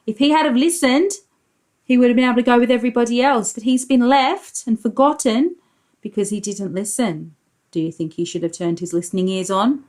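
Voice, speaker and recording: A woman preschool teacher reading a storybook aloud to young children, expressively, explaining as she goes and putting questions to the listeners. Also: fairly high pitch.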